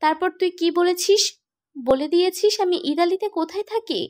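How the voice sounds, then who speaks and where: A woman plays a wounded but stubborn young woman in a cartoon romance. The voice is high-pitched. The delivery is insistent and emotional. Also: a little fast, darkish tone. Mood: disbelieving, agitated. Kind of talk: animated romantic drama dialogue.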